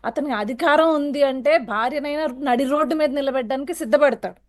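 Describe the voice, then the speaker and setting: A woman with a very high, piercing pitch. She argues as a guest on a political TV panel. Her delivery is forceful and accusatory, full of rhetorical questions. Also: fast. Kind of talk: heated television political debate.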